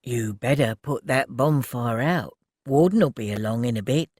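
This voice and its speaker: A man voicing a calm young man who speaks in a soothing, low-key way. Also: a little slow, slightly rough, dry recording, studio quality.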